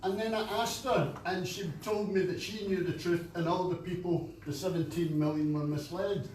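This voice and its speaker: A man's voice: high voice, steady tone, normal speed, darkish tone. A man with a Scottish accent, making a chatty, anecdotal comment from the floor of a meeting. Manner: chatty, anecdotal, laughs at himself. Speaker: audience member. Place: meeting room at a conference fringe event. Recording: echoey room, distant, faint noise.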